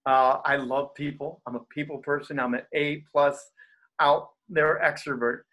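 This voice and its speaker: A man's voice: on the high side, normal speed. A man who is a pastor and coach, speaking as an interview guest with energy and easy laughter, who has a stutter. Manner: energetic, animated, laughs easily. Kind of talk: interview guest, casual talk.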